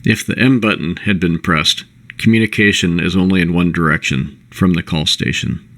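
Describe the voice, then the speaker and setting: A man reading a scripted corporate product presentation in a measured, instructional way. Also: sits low, steady tone, a bit bright. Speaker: presenter of a company product overview.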